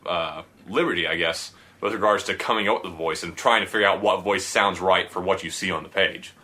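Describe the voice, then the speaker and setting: Male podcast host, lower voice with a bright edge, talks fast and keen, laughs easily. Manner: eager, chatty, quick to laugh. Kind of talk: casual podcast interview.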